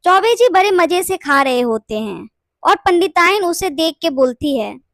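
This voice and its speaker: A woman's voice: very high-pitched, very animated, measured pace, fairly bright tone, no echo, clean studio sound. A woman reading scripted narration for a children's bedtime story.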